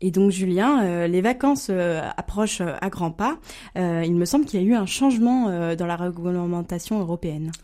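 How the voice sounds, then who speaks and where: Hesitant woman with a mellow voice. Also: on the low side, moderate pace. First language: German. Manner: hesitant, many fillers. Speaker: radio co-host. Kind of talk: casual radio segment intro.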